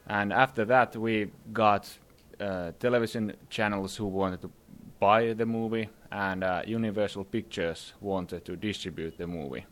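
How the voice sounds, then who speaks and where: A man's voice: lowish voice, steady tone, unhurried, hardly any pauses, a bit bright. A young man, a filmmaker answering interview questions in a hesitant way with many fillers. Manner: hesitant, many fillers, laughs while talking. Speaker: filmmaker guest. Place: conference summit venue.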